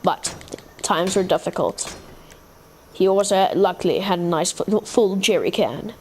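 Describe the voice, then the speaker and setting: A woman hosting a gaming video, telling a game backstory in a slow, halting way. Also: on the low side, darkish tone, slight background noise. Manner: slow, halting storytelling narration. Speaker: gaming channel host.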